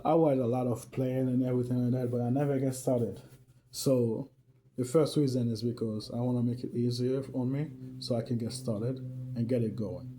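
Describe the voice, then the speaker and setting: A man's voice: mid-range voice, dark tone. A man from Haiti with a Haitian accent, talking casually and earnestly to his viewers about faith and growth, with frequent laughs. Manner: earnest, loose and chatty, laughs often. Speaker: faith vlogger. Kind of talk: casual talk to camera.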